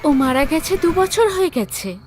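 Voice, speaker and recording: A woman voicing a beautiful, sorrowful ghost mother in a horror cartoon, with an eerie, measured delivery. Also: high-pitched, sing-song, a little mellow, soft background music. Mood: puzzled, guarded.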